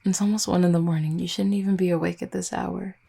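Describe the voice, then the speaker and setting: A woman's voice: on the low side, fairly even intonation, fast talker, vocal fry, warm tone, breathy edge, full character voice. A woman playing an affectionate, teasing vampire girlfriend in an intimate roleplay audio, speaking in a tender, conversational way.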